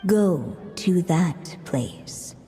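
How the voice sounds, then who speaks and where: A woman voicing a mysterious seer witch who tells a riddling fairy tale in measured, deliberate phrases. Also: lowish voice, lively intonation, mellow, faint music behind. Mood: enigmatic. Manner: slow, measured storytelling. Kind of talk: game voice acting. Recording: long reverb.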